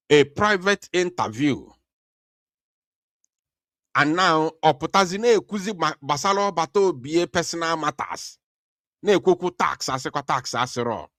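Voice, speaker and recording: A man hosts a call-in talk show from his computer, his mid-pitched voice dry and close with almost no room sound. He presses his points earnestly, circling back to repeat them and pausing on fillers as he gathers his thoughts. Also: fairly bright tone, dry recording. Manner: earnest, repeats himself, many hesitations. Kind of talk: live online talk show commentary. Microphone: computer mic over internet call.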